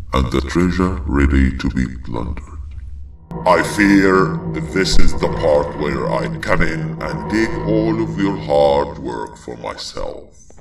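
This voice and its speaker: A man voicing a menacing, vengeful monster villain for a video game, grandiose and deliberate in his threats. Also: very deep voice, creaky, heavily acted. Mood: gloating menace. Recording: echoey, distant, under loud music. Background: loud music.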